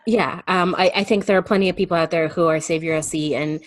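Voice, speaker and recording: A woman guest explaining herself in casual conversation, thoughtful and halting, sometimes voicing her thoughts as quotes. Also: on the low side, steady tone. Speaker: guest on a remote call.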